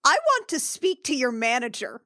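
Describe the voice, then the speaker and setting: A woman's voice: sits high, sing-song, moderate pace, bright, forceful tone, full character voice. A woman voicing an entitled royal lady in a tabletop game, suspicious and pointed.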